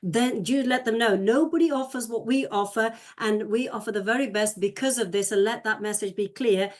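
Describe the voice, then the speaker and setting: A woman dance teacher speaking on a panel, brisk and clear as she lists her points. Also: a little high. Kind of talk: answering a question on a panel.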